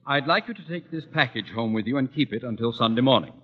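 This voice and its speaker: A man's voice: mid-range voice, expressive, fast talker, a little hiss or hum, lightly acted. An actor playing a pompous, irascible middle-aged male school principal in a 1940s radio sitcom, clipped and imperious. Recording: dull vintage radio recording.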